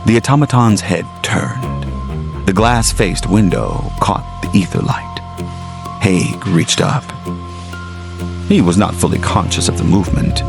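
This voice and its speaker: A man reading scripted gothic horror as a storyteller, in a dramatic, deliberate literary manner with weighted phrases. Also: lowish voice, creaky. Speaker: storyteller, narrator. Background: music under the narration.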